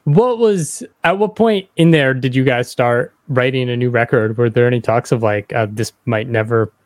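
A man's voice: mid-range voice, normal speed, no room sound, clean studio sound. A man hosting a podcast, asking casual interview questions in a conversational way.

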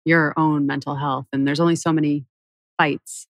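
A woman hosting a podcast conversation, gently prompting and supportive. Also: deep voice, little pitch movement, normal speed, no echo. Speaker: podcast host. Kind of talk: casual conversation.

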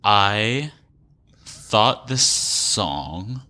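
Low-pitched man with a bright edge. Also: expressive, very slow. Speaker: podcast co-host. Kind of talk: casual music discussion.

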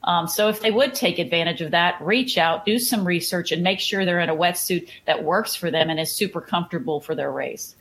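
A woman's voice: lowish voice, fairly quick, hardly any pauses, fairly bright tone. A woman with a Texan accent, a triathlon coach chatting in a podcast interview and often repeating words as she starts a thought. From Houston, Texas.

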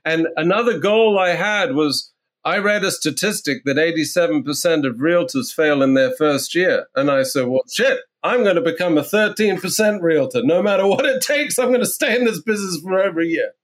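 Man, higher-pitched voice, real estate agent, blunt, animated storytelling, casual interview. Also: normal speed. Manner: animated, blunt storyteller who swears freely.

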